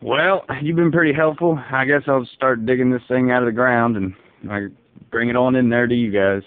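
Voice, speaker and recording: A man playing a folksy, easygoing, bewildered dad, rambling deadpan on a prank phone call. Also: mid-range voice, moderate pace, a little hiss or hum, natural voice. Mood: resigned, musing. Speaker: prank caller. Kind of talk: casual phone prank, improvised. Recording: muffled narrow phone line.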